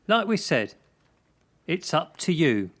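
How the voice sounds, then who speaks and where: A man's voice: fairly high pitch, big pitch swings, takes it slowly, a bit bright, heavily acted. A man acting in a radio play as a stern, controlling gymnastics coach.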